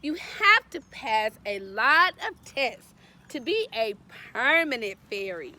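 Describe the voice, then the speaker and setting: A woman's voice: a little high, very animated, slow, sharp tone, pitched below the performer's own voice, heavily acted. A woman voicing a boastful, playful little fairy girl from a picture book, with childlike, theatrical emphasis. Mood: proud, excited. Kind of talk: picture-book read-aloud.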